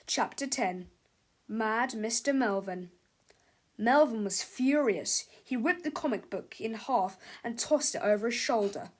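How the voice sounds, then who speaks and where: A woman's voice: fairly high pitch, measured pace. A woman reading a children's book aloud as scripted narration.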